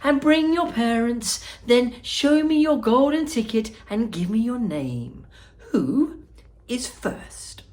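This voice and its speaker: A woman voicing an eccentric, excitable little man, a storybook factory owner who welcomes people effusively in a high, fluty voice. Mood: excited, welcoming. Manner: effusive, theatrical welcoming, drawn-out delighted words. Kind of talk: storybook character voice.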